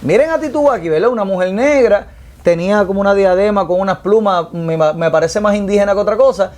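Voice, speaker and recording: A man co-hosting a casual panel podcast, talking in an animated way with many fillers and restarts. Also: sits high, fast talker. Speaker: podcast co-host.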